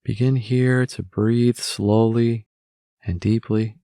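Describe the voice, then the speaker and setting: A man narrating a guided meditation in a measured way, with long pauses between phrases. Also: mid-range voice, little pitch movement, warm tone, no echo, studio quality.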